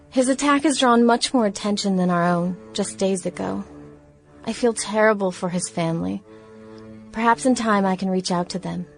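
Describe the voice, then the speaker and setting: A woman's voice: mid-range voice, gravelly, close to an everyday voice. Woman acting a grieving sister, solemn over music. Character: grieving young woman writing diary after brother's death. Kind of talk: dramatic reading of diary entries in radio play. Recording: music bed under the voice.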